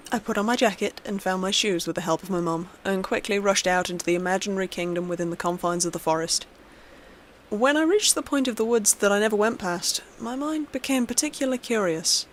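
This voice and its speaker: A woman narrates a scripted horror story. Her pitch sits in the middle for a woman. Faint noise and ambience run behind the reading.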